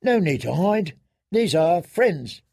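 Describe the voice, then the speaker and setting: Man acting a haunted man, slow and careful. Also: high voice, sing-song, gravelly, mellow, slightly rough, voice pushed up, heavily acted. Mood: reassuring. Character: haunted, maimed man gently coaxing a frightened girl. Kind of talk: dramatic audiobook character reading.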